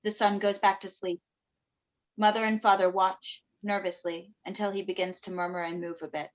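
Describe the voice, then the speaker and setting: A woman's voice: mid-range voice, little pitch movement, moderate pace. Woman reading stage directions, dull audio. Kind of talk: scripted narration of a play. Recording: dull video call audio.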